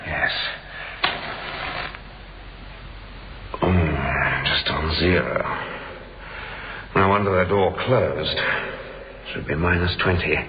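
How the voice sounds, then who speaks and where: An actor with a British accent playing a grumbling trapped man in a radio drama, muttering fussily and haltingly to himself. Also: sits low, creaky. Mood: uneasy, muttering. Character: grumbling man trapped alone in a cold store. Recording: muffled, noisy old radio drama recording.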